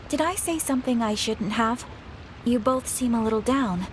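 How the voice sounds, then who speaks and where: An actress playing a courteous, composed guild receptionist in a video game, speaking formally and politely. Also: female voice, fairly high pitch, normal speed, noisy background, lightly acted. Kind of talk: video game dialogue acting. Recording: game music behind the voice.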